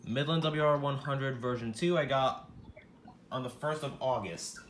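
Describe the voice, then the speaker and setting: A man hosting a casual home video about his radio collection, talking in a loose, rambling way with plenty of swearing and sudden loud outbursts at himself. His voice sits at an ordinary pitch. The room is echoey, with a steady noisy background. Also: normal speed. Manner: rambling, self-deprecating, swears freely, bursts into shouting. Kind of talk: casual show-and-tell vlog.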